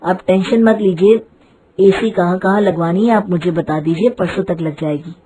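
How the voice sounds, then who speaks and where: A woman's voice: lowish voice, normal speed, dark tone, close to an everyday voice, low-quality recording. A woman voicing a dutiful adult son who placates and reassures, in a cartoon family story. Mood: placating. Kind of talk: Hindi cartoon moral story voice.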